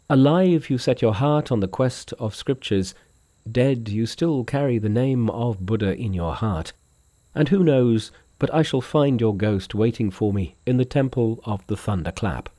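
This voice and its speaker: A pious monk master from a storybook, performed by a male reader. He speaks with formal earnestness and an anxious solemnity, his mellow voice rising and falling expressively in a dry recording. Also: medium pitch, animated, measured pace, dry recording. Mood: mourning.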